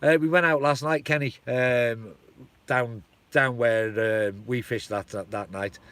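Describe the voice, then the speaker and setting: Sweary north welsh bloke chatting live. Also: male voice, medium pitch, steady tone, unhurried, a bit bright. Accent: North Welsh with a hint of Shropshire. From North Wales. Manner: rambling, sweary, chuckling often. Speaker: live-stream host. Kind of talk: casual live-stream chat with viewers.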